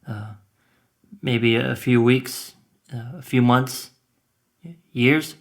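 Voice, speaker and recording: A man in his twenties playing a self-deprecating, depressed young man in a naturalistic audio drama, speaking haltingly. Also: medium pitch. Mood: withdrawn, confused. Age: 20s. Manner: hesitant, halting, with filler words. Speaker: stand-up comedian and patient in a scripted podcast. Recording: roomy, echoing sound.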